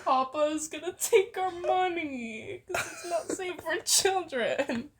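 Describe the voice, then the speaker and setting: A woman's voice: extremely high pitch, expressive, takes it slowly, fairly bright tone, slight background noise. A young woman casually reading fan fiction aloud, playfully acting out the dialogue and bantering. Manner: playful, acts out dialogue with exclamations. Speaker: amateur fan fiction reader and co-host.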